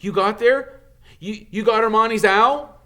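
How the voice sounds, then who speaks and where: A man voicing an excitable young boy wizard in an audiobook, stammering and blurting questions urgently. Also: high-pitched, takes it slowly, voice pushed up, heavily acted. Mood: insistent, surprised.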